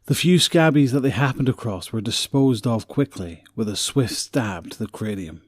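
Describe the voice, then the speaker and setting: A man's voice: medium pitch, expressive, normal speed, dry recording, studio quality. A man reading scripted story narration for an audio drama.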